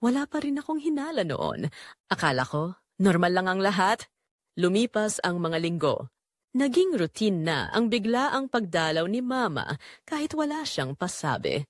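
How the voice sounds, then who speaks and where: A woman's voice: medium pitch, big pitch swings, normal speed, no echo, clean studio sound. A woman playing a betrayed married daughter, narrating her painful family secret in an emotive, confessional first-person style for an audio drama. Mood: uneasy, suspicious.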